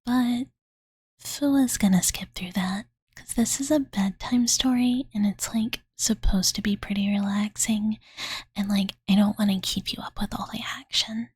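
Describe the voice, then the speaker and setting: Woman acting as young dragon girl, cutesy and devoted, very breathy whisper, close dry mic, soothing ASMR bedtime roleplay, valley-girl fillers. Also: mid-range voice, steady tone, measured pace, darkish tone, no echo. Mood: tender, sleepy. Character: cute devoted young dragon girl telling her master a bedtime story. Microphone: close-up studio condenser microphone, dry.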